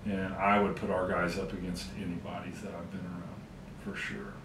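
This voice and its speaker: A man's voice: deep voice, flat delivery, normal speed, noisy background. A middle-aged man speaking earnestly in an interview in his office. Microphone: far camera. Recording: echoey office from far off.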